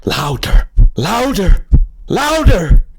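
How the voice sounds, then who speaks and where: A man's voice: sits high, big pitch swings, very slow, vocal fry, slightly rough, voice pushed up, full character voice. A man performing a dramatic gothic horror audiobook as a boastful, paranoid madman confessing to murder, with theatrical, intense emphasis. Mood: frenzied, shrieking.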